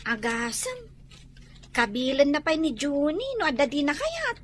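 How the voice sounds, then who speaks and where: A woman's voice: sits high, very animated, unhurried, fairly bright tone, slight background noise, heavily acted. A woman acting a concerned, persuasive shop-owning cousin in an Ilocano comedy drama.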